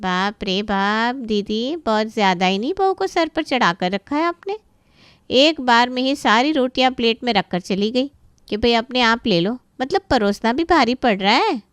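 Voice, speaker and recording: A woman's voice: fairly high pitch, lively intonation, moderate pace, clean tone, no room sound, studio quality, natural voice. A woman playing a haughty, nitpicking middle-aged aunt, a boastful guest in a family story. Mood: haughty criticism.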